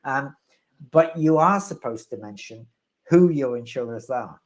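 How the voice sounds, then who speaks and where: A man's voice: medium pitch, expressive, measured pace. A man answering questions as the resident expert in a live webinar, rambling and wry, with self-deprecating humor.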